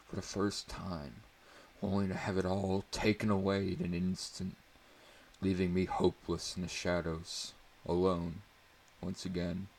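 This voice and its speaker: A man's voice: low voice, creaky, a little hiss or hum. A man reading a story aloud as narrator in a dramatic audiobook style.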